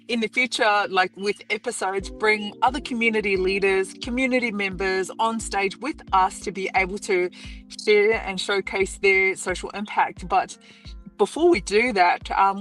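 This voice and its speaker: A New woman hosts a livestream in her thirties. She talks in an easy, unhurried way and leans on fillers. Soft music plays faintly behind her. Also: mid-range voice. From New Zealand. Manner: relaxed, with many fillers. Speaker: livestream host and founder. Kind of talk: casual livestream chat.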